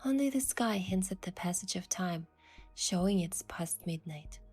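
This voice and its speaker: A woman playing a young romantic heroine, narrating her adventure in a soft, measured, wistful way for a game story. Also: on the low side, animated, husky, soft background music. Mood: hushed, wistful. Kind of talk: scripted first-person story narration.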